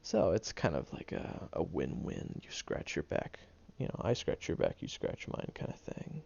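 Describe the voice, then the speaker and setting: A man's voice: rumbling low, huge pitch swings, normal speed, gravelly, darkish tone, slight background noise, full character voice. A man voicing a prickly young male student who secretly cares, talking hesitantly with stammers and ums in an audio roleplay. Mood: bitter. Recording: dull lo-fi recording.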